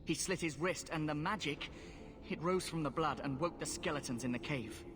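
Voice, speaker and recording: An actor playing a frightened, earnest young runaway mage in a video game, timid and pleading. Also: male voice, a little high, moderate pace, long reverb tail, noisy background, close to an everyday voice. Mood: frightened, shaken. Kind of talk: dramatic video game acting.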